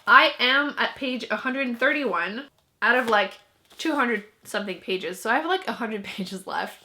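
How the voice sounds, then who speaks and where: Young woman, French native speaker, bright tone, chatty, self-mocking, laughs a lot. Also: on the high side, expressive, normal speed. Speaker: book vlogger. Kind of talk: casual chat to camera.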